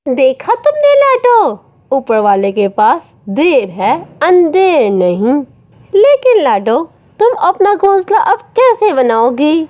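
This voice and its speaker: A woman's voice: high-pitched, wildly swooping pitch, slow pace, lo-fi sound, exaggerated voice. A woman voicing a friendly, concerned male crow in a cartoon, earnest and exclamatory. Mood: caring.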